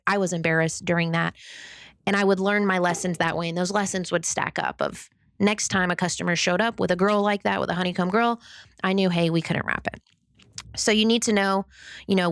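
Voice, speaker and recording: A young woman with a high-pitched voice and a gravelly fry at the ends of her phrases, talking alone on a podcast. She sounds upbeat and candid, poking fun at herself as she explains her points. The recording is close and dry, with no room sound. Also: no echo. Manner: upbeat, candid, self-deprecating. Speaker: podcast co-host. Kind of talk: solo podcast advice talk.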